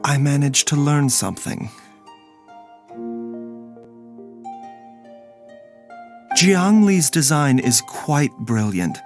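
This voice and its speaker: A man playing a gentle, scholarly physician in a video game, measured, formal and soft-spoken. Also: fairly high pitch, big pitch swings. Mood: weary, reflective. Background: faint music.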